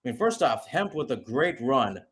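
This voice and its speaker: A man's voice: medium pitch, sing-song, normal speed, a bit bright, a little hiss or hum. A man giving unscripted live play-by-play football commentary in a conversational, analytical way.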